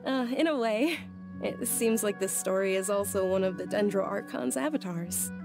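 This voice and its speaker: A woman playing a gentle, polite young follower of a deity in a video game, speaking with soft, hesitant courtesy. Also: medium pitch, normal speed, close to an everyday voice. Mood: flustered. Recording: faint music behind the voice. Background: background music.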